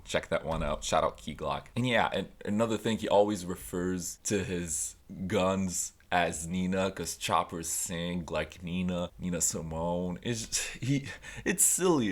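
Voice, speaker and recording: Young Tunisian guy, Arabic first language, deep voice, casual music vlog chat full of fillers. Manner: chatty, loose, self-interrupting with fillers. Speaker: music vlogger. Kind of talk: casual music recommendations to camera.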